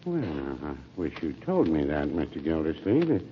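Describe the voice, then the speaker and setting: An actor playing an older small-town druggist in a 1940s radio comedy, dry, folksy, hesitant and never hurried. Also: male voice, a bass voice, huge pitch swings, exaggerated voice. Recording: muffled old radio recording, faint noise.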